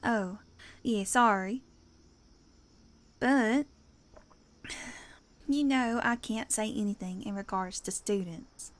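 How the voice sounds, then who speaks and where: A woman's voice: mid-range voice, takes it slowly, long pauses, lightly acted. A woman playing a guarded, caring small-town teacher in an audio drama, speaking earnestly and a little hesitantly.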